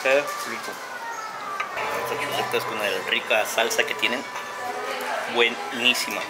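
A man's voice: medium pitch, a little slow, loud music behind the voice, rough recording. A man in his forties from Mexico, chatting casually through a food vlog as he tastes dishes in a small restaurant, humming as he eats. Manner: chatty, relaxed, savoring food with hums. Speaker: food vlogger. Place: small restaurant, partly open-air. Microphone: handheld camera at arm's length. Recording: loud background noise.

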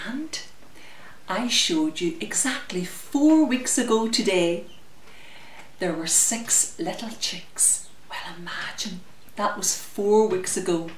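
Slow-paced woman doing children's story time. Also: mid-range voice. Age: middle-aged. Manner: expressive, sing-song, drawn-out words addressed to small children. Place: glass-walled conservatory at home. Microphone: camera mic a little way off. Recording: roomy echo, distant mic, faint noise.

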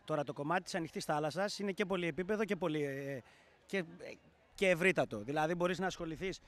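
A man in his thirties, director of a sailing school, being interviewed outdoors and explaining things in a measured way with hesitant fillers. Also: on the high side. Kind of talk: street interview. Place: outdoor café terrace in a town. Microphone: handheld reporter mic.

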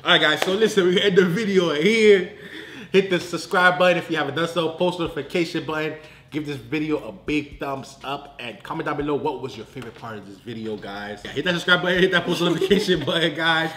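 A man's voice: a little high, fast talker, fairly bright tone. A young man hosting a challenge vlog in playful banter, with a dramatic, exclamatory way of talking. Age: young adult. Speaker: vlog host. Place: indoor room at home, at a table. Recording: roomy indoor echo, music underneath. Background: music.

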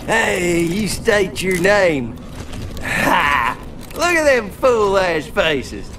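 Casting: taunting game survivor, cocky slow drawl, very animated. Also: male voice, high voice, a little hiss or hum, exaggerated voice. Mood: mocking. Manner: mocking, drawling, cackling. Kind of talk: video game cutscene acting.

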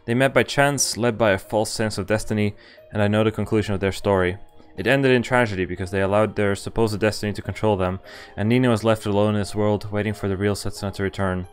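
A young man with a fairly low voice reads visual novel text aloud for a stream. He slips between narration and offhand commentary, with music playing softly behind him. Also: steady tone, fast, hardly any pauses. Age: young adult. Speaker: game streamer. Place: indoor room, webcam stream. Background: game music.

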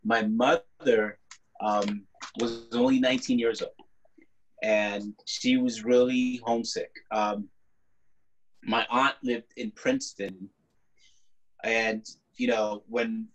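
A man in his forties, a native Spanish speaker, talks in a low-ish voice and takes his time, drawing out each story. He is an artist speaking over a laptop webcam from a room at home, easygoing and quick to laugh. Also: poor audio. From born in the US, raised partly in Guatemala. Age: 40s. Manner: unhurried, laughs easily, expressive. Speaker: artist guest. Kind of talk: relaxed conversational storytelling. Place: home room with colorful paintings on the wall. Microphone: laptop webcam video call.

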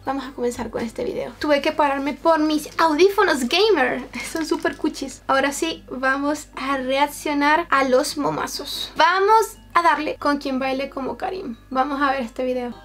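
Young venezuelan girl streamer, high voice, chatting casually, bit of music behind. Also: moderate pace, hardly any pauses, a bit bright. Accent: Venezuelan Spanish. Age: 20s. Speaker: streamer and YouTuber reacting to memes and streams. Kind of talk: casual chat to camera. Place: small bedroom with shelves, indoors. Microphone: camera-mounted shotgun microphone.

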